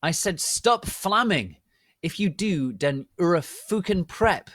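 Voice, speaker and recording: A man's voice: high voice, animated, slow pace, fairly bright tone, dry recording, studio quality, voice pushed up, full character voice. A man voicing a touchy, gushy teenage girl reading out her slangy author's notes. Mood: defiant, annoyed.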